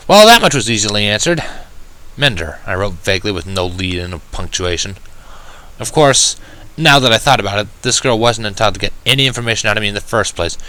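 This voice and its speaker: A man narrating a first-person fan fiction story in an expressive, wry, dramatic manner. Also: on the low side, low-quality recording. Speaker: audiobook narrator.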